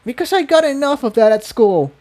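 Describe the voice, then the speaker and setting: A man voicing an anxious young female pegasus pony in a thin falsetto, nervous and pleading, for a storybook reading. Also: big pitch swings, brisk, darkish tone. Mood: hurt anger.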